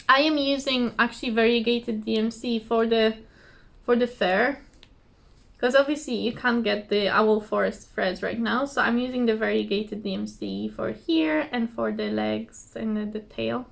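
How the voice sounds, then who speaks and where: A woman with a slightly hoarse voice, chatting casually to camera at home in a rambling, giggly, self-deprecating way. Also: on the high side, a bit bright. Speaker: cross-stitch vlogger. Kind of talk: casual chatty craft vlog. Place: indoors at home, trees outside behind her.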